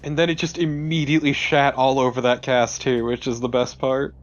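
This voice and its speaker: A man's voice: medium pitch, little pitch movement, fairly quick, dull audio. A man giving casual let's play commentary as a co-host, joking, sarcastic and given to swearing. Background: video game ambience.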